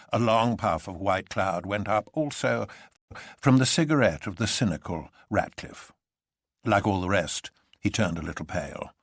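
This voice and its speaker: Male narrator for dramatic fiction: lowish pitch, lively intonation, close dry sound. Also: husky, no echo. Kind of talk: scripted dramatic story narration.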